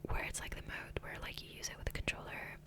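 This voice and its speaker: A woman whispering breathily in a casual, rambling ASMR style, close to the microphone. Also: fast talker. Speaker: ASMR gaming video creator. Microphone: close USB condenser microphone.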